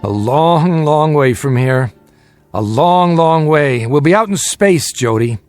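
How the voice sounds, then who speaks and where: A man acting as a steady, guarded family father in a radio drama, speaking in a measured, emphatic way. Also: fairly high pitch, clean tone, no room sound, faint music behind. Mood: grave, intent.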